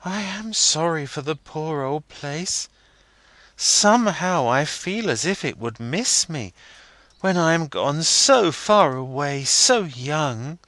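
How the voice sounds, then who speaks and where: A man voicing a playful, pouting young woman in a high, sing-song girlish voice. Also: sing-song, takes it slowly, a bit bright, no room sound, heavily acted. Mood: wistful.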